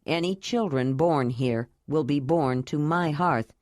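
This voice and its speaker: A woman voicing an earnest, loving young man in an audiobook, lowering her voice to speak calmly. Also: measured pace, no room sound, clean studio sound. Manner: low, calm and earnest.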